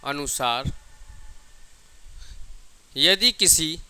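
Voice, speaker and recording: A male teacher delivers a lecture in a very bright, crisp and fairly high voice. He dictates definitions, repeats key phrases, and poses questions that he answers himself. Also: animated, extremely slow. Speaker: physics teacher.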